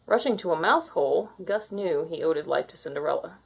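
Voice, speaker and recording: A woman's voice: deep voice, animated, fairly quick, vocal fry, slightly rough. A woman narrating a children's fairy tale as a scripted read-aloud.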